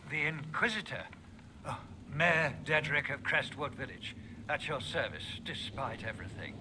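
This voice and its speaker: A man plays a weary, anxious village mayor in a fantasy game. His voice sits slightly high and he speaks hesitantly. Rain and thunder are clear behind him. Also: lively intonation, moderate pace, noisy background, close to an everyday voice. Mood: worried. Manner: hesitant, worried.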